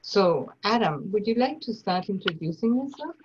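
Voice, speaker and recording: A woman from Argentina with an Argentine accent, leading an online workshop over a video call in a slow, earnest way. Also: medium pitch, lively intonation, background noise. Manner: slow, deliberate, earnest delivery. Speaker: nonviolence trainer. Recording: dull lo-fi online audio.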